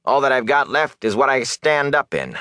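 A man voicing a ragged, nervous peddler in an audiobook, with a rasping, whining voice and rustic turns of phrase. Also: medium pitch, sing-song, normal speed, sharp tone, dry recording, studio quality, voice pushed up. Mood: aggrieved, whining. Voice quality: rasping, sometimes dropping to a hoarse whisper. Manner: rustic dialect, nervous, wheedling rhetorical questions.

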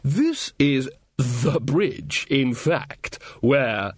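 A man performs an old male wizard from a comic fantasy storybook, grand and blustering. He lingers slowly over his words in wistful reminiscence, his pitch rising and falling theatrically, recorded close and dry. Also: big pitch swings, husky, dry recording, full character voice.

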